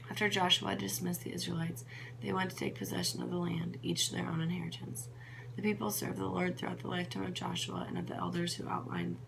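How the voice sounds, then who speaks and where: A woman's voice: on the low side, creaky. A woman reading scripture aloud, sometimes stumbling over names and correcting herself. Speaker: Bible reader. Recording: noisy with a steady hum.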